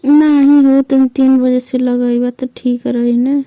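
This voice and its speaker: High, flat-toned Bhojpuri woman on a phone call. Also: takes it slowly, dry recording. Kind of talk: casual phone chat.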